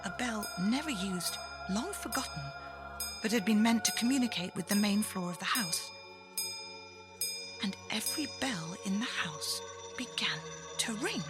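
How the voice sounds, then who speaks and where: A woman narrating a children's story with theatrical, deliberate, suspenseful phrasing. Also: medium pitch, lively intonation, over music.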